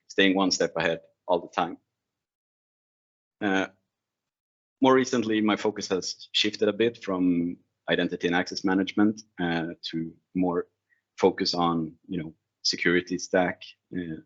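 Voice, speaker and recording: A man who is not a native English speaker, giving an informal technical presentation in a conversational, slightly hesitant way. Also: sits low. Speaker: presenter, IT security consultant. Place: online video call screen share. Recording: dull, lo-fi online meeting audio.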